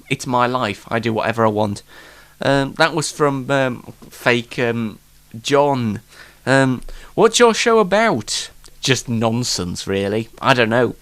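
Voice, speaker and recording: British man, slightly congested, lively swooping pitch, unrehearsed solo show host, self-mocking, rambling, mock-exasperated. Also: clear, resonant tone. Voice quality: congested, bunged-up nose. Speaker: host of a homemade online talk show. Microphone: microphone, speaking alone.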